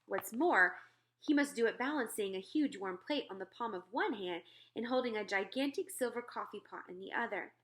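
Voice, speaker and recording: A woman narrating a children's storybook aloud in an expressive read-aloud style. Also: medium pitch. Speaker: storybook reader.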